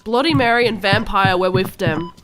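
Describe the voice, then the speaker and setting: A woman's voice: medium pitch, normal speed, over music, natural voice. A woman playing a self-dramatising teenage goth girl, melodramatically narrating her own story in a scripted cartoon parody. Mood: dramatic narrating. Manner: melodramatic, reads typos and numbers literally.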